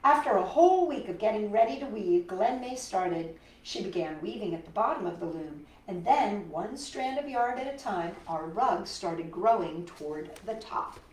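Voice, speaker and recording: A woman reading a picture book aloud to children, expressive and full of wonder, pausing for chatty questions to listeners. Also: mid-range voice. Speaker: children's storytime host. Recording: roomy echo, distant microphone.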